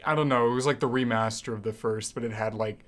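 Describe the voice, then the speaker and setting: A man's voice: mid-range voice, steady tone, fast talker, a bit bright. A young man co-hosting a podcast in casual, wry banter with a mock-dramatic streak. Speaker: podcast co-host.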